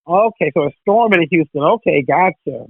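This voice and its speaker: A woman's voice: fairly low pitch, lively intonation, a little fast, creaky, slightly rough, no room sound. A woman hosting a radio interview, chatty and full of "you know". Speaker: radio host. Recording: tinny phone line.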